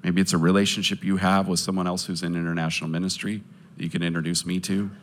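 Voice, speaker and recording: Low-voiced man giving an earnest testimony. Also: level tone, quick pace, slight background noise. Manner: earnest, hesitant, many fillers and restarts. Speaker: speaker sharing testimony and orphanage appeal. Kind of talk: casual testimony talk to a live audience.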